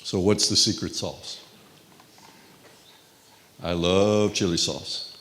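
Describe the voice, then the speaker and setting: A middle-aged man from Texas with a Texan accent, giving a relaxed, conversational conference lecture with frequent restarts. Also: deep voice, slow. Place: conference hall.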